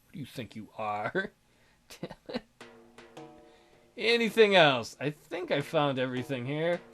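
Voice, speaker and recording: Animated woman playing a visual novel. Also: low-pitched, slow pace. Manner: wry, sarcastic asides. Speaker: let's player. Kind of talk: game playthrough, reading dialogue aloud with joking commentary. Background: game music.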